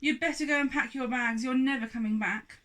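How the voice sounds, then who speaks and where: A woman voicing a huge, angry, pompous father giant who booms out accusations in a children's storybook. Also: brisk, fairly bright tone. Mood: suspicious, accusing.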